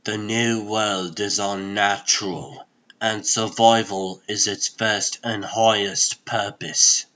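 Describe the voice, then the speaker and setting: A man voicing a solemn, world-weary sorcerer in a comic dub, speaking gravely and with portentous deliberation. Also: lowish voice, fairly even intonation, slow pace, bright, forceful tone, lo-fi sound. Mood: ominous. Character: solemn, world-weary adult male sorcerer serving a god. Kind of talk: comic dub dramatic monologue.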